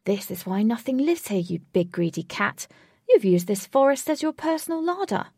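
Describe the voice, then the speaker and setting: A woman's voice: mid-range voice, animated, normal speed, vocal fry, darkish tone, breathy edge, dry recording, clean studio sound. A woman voicing a grumpy, impatient, drily sarcastic male storybook character who speaks curtly and formally. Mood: angry, amused. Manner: curt, disdainful, formal wording.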